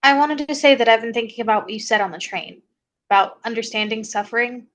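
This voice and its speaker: A woman's voice: a little high, quick pace, a bit bright, lightly acted. A woman acting a thoughtful, candid mother of a grown daughter in a naturalistic table read. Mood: sincere, confiding.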